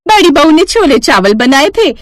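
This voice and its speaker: A woman's voice: sits high, very animated, moderate pace, dry recording, studio quality, voice pushed up. A woman voicing a chatty, boastful neighbour lady in a cartoon family story. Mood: pleased, boastful. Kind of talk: Hindi moral-story cartoon voice acting.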